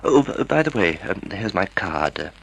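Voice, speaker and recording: An actor playing a timid, polite, half-blind older husband who hesitates and stammers, in an old-time radio drama. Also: male voice, low voice, sing-song, measured pace, gravelly, full character voice. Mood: polite, hesitant. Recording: vintage radio drama recording.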